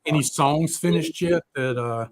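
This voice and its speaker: A man's voice: fairly high pitch, measured pace. A man hosting a casual music talk show, rambling and hesitating as he goes. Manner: rambling, hesitant, filler-heavy phrasing. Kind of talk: casual, unscripted chat.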